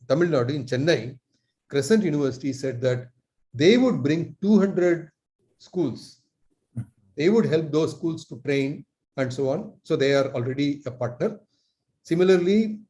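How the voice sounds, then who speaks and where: A man's voice: fairly high pitch, dark tone. Earnest Indian man on a video call. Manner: earnest, many filler pauses. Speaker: lecturer. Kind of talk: online meeting talk.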